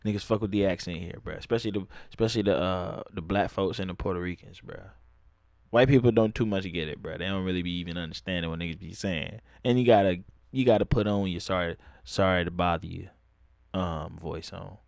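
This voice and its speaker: A man's voice: low-pitched, a little fast, creaky, darkish tone, no room sound, clean studio sound. A young man from Atlanta with a Southern accent, telling a loose, profane story in casual talk and laughing often. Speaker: vlogger.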